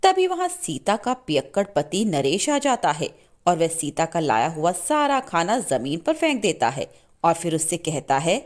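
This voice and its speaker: A woman's voice: on the low side, big pitch swings, measured pace. A woman storyteller narrating a scripted moral tale with dramatic storybook delivery.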